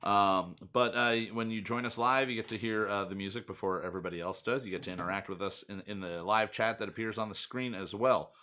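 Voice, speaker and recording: A man's voice: lowish voice, steady tone, a little fast, hardly any pauses, bright, forceful tone. Chatty man hosting a casual podcast. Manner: rambling, chatty, many fillers. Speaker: podcast host. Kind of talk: casual chat.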